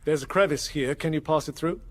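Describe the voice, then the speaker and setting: An actor playing an authoritative, secretive gentleman investigator in a period audio drama, husky, measured and formal. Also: fairly high pitch, close to an everyday voice. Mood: reassuring, firm.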